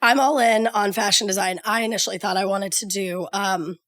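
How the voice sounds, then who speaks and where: Hoarse, raspy Southern woman from Georgia, chatty podcast guest, close dry sound. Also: medium pitch, fairly quick, dry recording. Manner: lively, chatty, laughs easily. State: hoarse from a cold. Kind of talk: casual chat.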